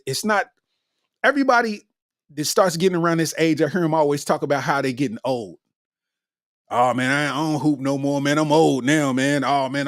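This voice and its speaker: A relaxed man in his forties with a slightly high voice, hosting a solo podcast. He talks loosely, repeats himself and tags lines with "man." The sound is dry and close. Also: no echo. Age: 40s. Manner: laid-back, repeats phrases, ends lines with "man" and "you know what I'm saying". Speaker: podcast host. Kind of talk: casual solo monologue.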